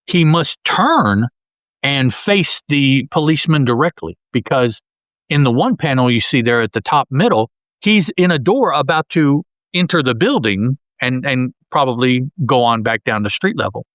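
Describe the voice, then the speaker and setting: A man's voice: medium pitch, expressive, normal speed, fairly bright tone, dry recording. A man co-hosting a podcast, describing comic panels in a casual, rambling way with many restarts. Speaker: podcast co-host. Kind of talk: casual comic-book discussion.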